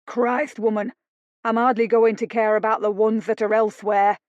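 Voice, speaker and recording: A woman's voice: fairly high pitch, flat delivery, moderate pace, a little mellow, no room sound, close to an everyday voice. A woman voicing an angry, commanding nobleman for an audiobook, raging and barking orders. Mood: furious. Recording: dull lo-fi audio.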